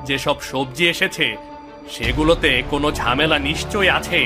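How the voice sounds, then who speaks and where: Guy doing whiny blaming village husband, cartoon, kinda high voice, loud music under it. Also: moderate pace, close to an everyday voice. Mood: accusing, worried. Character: weak, blaming village husband deferring to his mother. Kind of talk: cartoon moral story voice acting.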